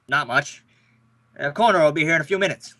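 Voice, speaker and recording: High, blustery, exasperated police lieutenant played by a man. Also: quick pace, pushed and bright. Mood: businesslike. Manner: sarcastic, blustery. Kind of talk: 1940s detective radio drama.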